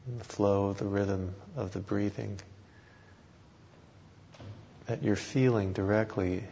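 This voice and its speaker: A man teaching guided meditation, speaking in short phrases with long pauses between them. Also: lowish voice, fairly even intonation, creaky, mellow. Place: meditation hall talk. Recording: dull recording with background hiss.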